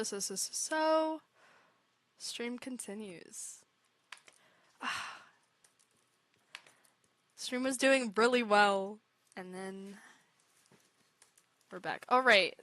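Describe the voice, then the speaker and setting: A woman's voice: mid-range voice, slow, pauses often, bright, forceful tone, no echo. A young woman streamer chatting casually to her viewers while playing a game, giggly and a bit self-conscious. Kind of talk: casual live gameplay chat.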